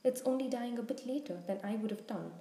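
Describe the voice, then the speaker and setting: A woman's voice: a little high, fast talker, dark tone, close to an everyday voice. A woman voicing an impassioned, defiant schoolboy hero with urgent, insistent delivery in a storybook reading. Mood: angry, defiant. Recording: roomy, echoing sound.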